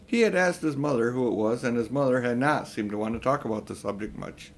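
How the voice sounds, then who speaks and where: An older man reading a children's novel aloud as an expressive storybook narrator. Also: medium pitch, fast talker, a little hiss or hum. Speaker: audiobook reader.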